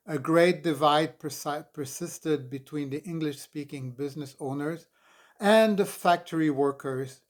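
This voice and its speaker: A man in his sixties from Montreal reading his memoir aloud in an expressive, deliberate, dramatic narrating style. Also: on the high side. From Montreal, Canada. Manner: expressive, deliberate, emphatic storytelling. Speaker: author reading own memoir.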